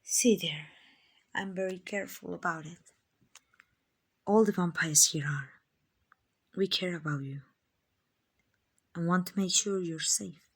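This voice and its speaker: A gentle vampire mistress acted by a woman speaks in a slow, breathy hush, often close to a whisper. Her voice is on the low side, with plenty of creak. She is tender and reassuring throughout, in the manner of an ASMR roleplay. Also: exaggerated voice. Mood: calm, explaining. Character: kind, gentle female vampire mansion owner, soothing a captive.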